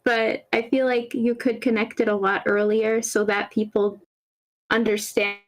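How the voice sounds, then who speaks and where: A woman's voice: fairly high pitch, little pitch movement, a little slow, low-quality recording. A woman giving informal, conversational feedback on an online meeting. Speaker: script feedback participant.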